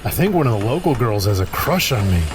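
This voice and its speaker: Brief: dreamy young worker, male actor, mid voice, animated. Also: mid-range voice, quick pace, very noisy, close to an everyday voice. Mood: earnest. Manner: earnest, dreamy. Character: lovestruck young construction worker Todd. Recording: music under dialogue.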